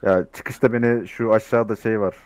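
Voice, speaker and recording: Deep-voiced man in comic game roleplay. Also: fairly even intonation, normal speed, darkish tone. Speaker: player acting as robbery hostage. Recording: voice chat with faint background noise.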